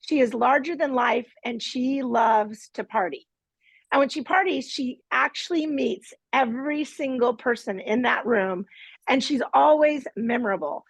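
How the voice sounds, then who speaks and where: A woman's voice: high-pitched, measured pace, creaky, husky, no echo. A woman hosting a live online master class from her home studio, with a playful, teasing manner. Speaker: host and team leader.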